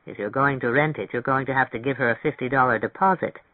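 Reserved older man from a novel, played by the narrator: lowered pitch, measured, practical, thin phone-like sound. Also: no echo, lightly acted. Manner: measured, matter-of-fact. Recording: thin, phone-like band-limited audio.

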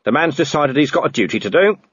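Man doing a gruff, brisk watch commander. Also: fairly high pitch, expressive, fairly bright tone, no echo, highs cut off, voice pushed up. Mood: wry. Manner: brisk, clipped orders. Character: gruff middle-aged city watch commander, dry humor.

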